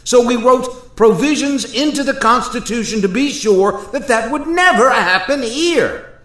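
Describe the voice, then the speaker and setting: Guy playing an outraged founding father, high voice swinging all over, formal speechy delivery, echoey radio play. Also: expressive, measured pace, a bit bright, exaggerated voice. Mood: indignant. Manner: oratorical, emphatic, formal. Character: indignant Thomas Jefferson, aghast at modern America. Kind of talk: amateur radio play acting. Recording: roomy, echoey voice recording.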